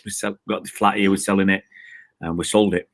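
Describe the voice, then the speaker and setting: Low-voiced chatty male host, dry sound. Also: little pitch movement, a little fast, no room sound. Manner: chatty, jokey, rambling with many fillers. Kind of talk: casual chat. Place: balcony outdoors.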